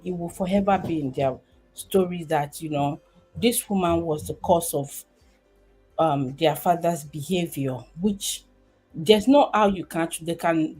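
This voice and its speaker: A woman with a Nigerian accent talks on a livestream in a low, easygoing voice, full of "um"s and restarts. Faint music plays behind her. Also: lively intonation, a little slow, mellow, husky, low-quality recording. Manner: chatty, rambling, with many fillers and restarts. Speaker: livestream host. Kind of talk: casual livestream commentary.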